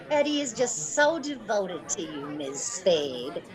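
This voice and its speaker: A woman's voice: mid-range voice, sing-song, takes it slowly, a faint crowd. A woman playing a gushing, fussy older mother, a doting party hostess in an old-time radio drama.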